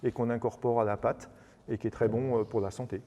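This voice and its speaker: A man, a baker and amateur racing driver, answering interview questions in casual chat, quick and full of restarts. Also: mid-range voice, level tone, mellow. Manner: fast, with many repeated words and restarts.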